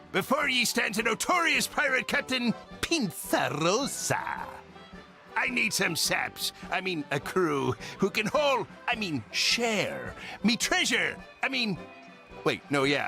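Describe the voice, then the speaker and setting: A man's voice: high voice, sing-song, measured pace, gravelly, sharp tone, soft background music, exaggerated voice. A man voicing a greedy, self-important pirate captain in a video game, with a mock pirate accent and blustering, theatrical manner. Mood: boastful, sly.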